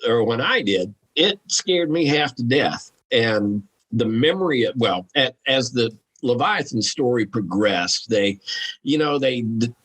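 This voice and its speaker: Frank, informal man with slightly husky voice. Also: medium pitch, lively intonation, a little slow, a bit bright, low-quality recording. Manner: frank, salty, informal phrasing. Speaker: podcast guest, author. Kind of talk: casual conversational commentary.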